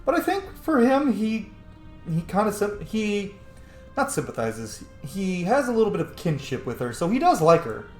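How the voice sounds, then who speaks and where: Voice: mid-range voice, very animated, normal speed, darkish tone. A game streamer giving casual let's-play commentary, musing aloud and swearing now and then. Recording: roomy echo, faint game music behind. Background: game music.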